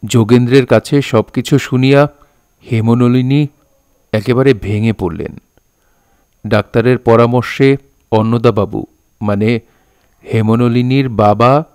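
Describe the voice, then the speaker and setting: Solemn, sensitive poet-narrator acted by a man, literary audio drama delivery, mid pitch, close dry recording. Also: fairly even intonation, a little slow, long pauses, darkish tone, smooth, no room sound, natural voice. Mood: solemn, reflective. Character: solemn, sensitive old-time poet narrating his own novel.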